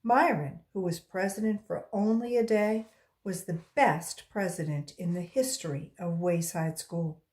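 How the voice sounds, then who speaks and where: A woman reading a children's story aloud in an expressive, deliberate storytelling way. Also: on the low side. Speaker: volunteer storybook reader.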